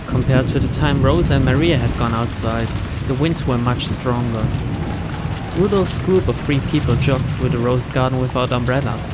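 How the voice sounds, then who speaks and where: A man reading visual novel narration in a steady, measured way. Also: mid-range voice.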